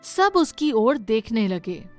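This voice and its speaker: Woman narrating a dramatic kids story, highish voice, slow, music behind. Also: very animated.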